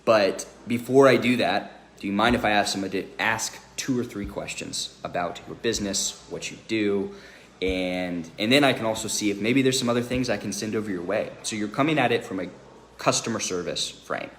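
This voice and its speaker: Low-voiced man coaching in an echoey room. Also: brisk, a bit bright. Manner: conversational, instructive, often checking in with "right?". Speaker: sales trainer. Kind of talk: informal training talk. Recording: echoey room with faint noise.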